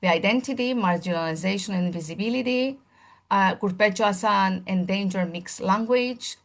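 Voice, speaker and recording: A woman presenting a seminar with a gravelly, fry-edged voice at a middling pitch. She speaks in earnest, formal lecture phrasing, building emphatic lists and often restarting mid-sentence as she hunts for the right word. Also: moderate pace. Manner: earnest and searching for words, with frequent restarts. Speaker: primary school teacher presenting a seminar.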